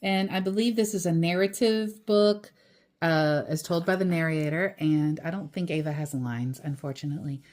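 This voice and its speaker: A woman's voice: lowish voice, moderate pace, a little mellow. A woman reading a children's picture book aloud, with simple explanations in casual asides to a young listener.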